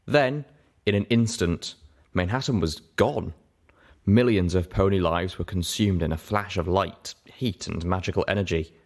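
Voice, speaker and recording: A man reading scripted fiction aloud as an audiobook narrator, with measured, dramatic storytelling. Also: low-pitched.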